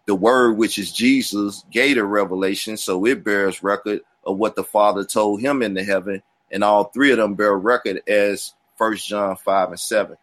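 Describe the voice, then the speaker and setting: A man with a fairly low voice explains his reading of scripture at length. He rambles a little and pauses on fillers as he quotes verses. The sound is dry and close. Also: fairly even intonation, fairly bright tone, dry recording. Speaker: guest Bible teacher. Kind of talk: casual explanatory talk.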